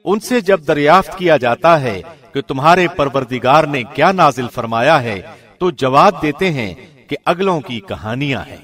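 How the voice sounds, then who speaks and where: A man with a fairly high voice reads scripture translation in a formal radio-presenter style. His delivery is solemn and measured, with each phrase carefully set out. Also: animated, a bit bright. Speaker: professional radio host reading translation.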